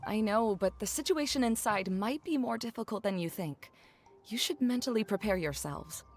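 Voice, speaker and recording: A composed female guide from a fantasy game, performed by an actress, in a medium-pitched voice. She is measured and explanatory, with a cautioning air. Faint music sits behind her. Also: animated, lightly acted. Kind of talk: video game voice acting.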